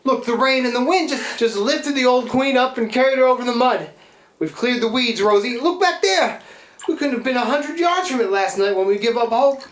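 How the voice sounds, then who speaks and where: A man acting a rough, echoey riverboat man. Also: extremely high pitch, animated, moderate pace. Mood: amazed, joyful. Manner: folksy, colloquial, emphatic. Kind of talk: live radio play acting. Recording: echoey live stage, clear background noise.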